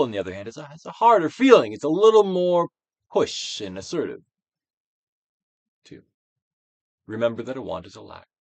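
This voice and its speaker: A man giving a dramatic, emphatic audiobook reading of a self-help book, moving between loud declarations and hushed phrases. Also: mid-range voice, no room sound. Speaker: actor reading a book aloud.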